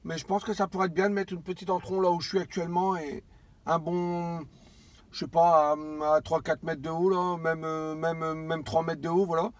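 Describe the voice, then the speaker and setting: High-voiced man around forty, chatting on radio. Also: level tone, moderate pace. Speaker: amateur CB radio operator. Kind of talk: casual radio contact chat.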